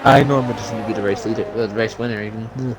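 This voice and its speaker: A man's voice: fairly low pitch, steady tone, rapid-fire, noisy background. A man co-commentating a sim race in casual live banter, joking as he goes.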